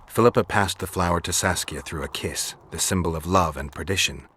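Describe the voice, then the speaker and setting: A man acting a stoic, battle-worn monster hunter in a video game, terse and deadpan in delivery. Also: deep voice, a little fast, husky. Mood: grim, measured. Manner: deadpan, terse, matter-of-fact.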